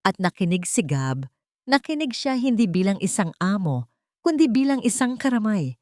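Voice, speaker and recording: A woman's voice: medium pitch, big pitch swings, moderate pace, dark tone, no room sound, clean studio sound. A woman reading a scripted romance story as a dramatic narrator.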